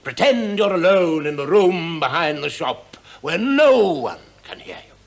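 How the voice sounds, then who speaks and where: An actor playing a brisk, bossy, easily amused theatre director in a radio drama, emphatic and condescending. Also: male voice, a little high, big pitch swings, gravelly, exaggerated voice. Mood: condescending, insistent.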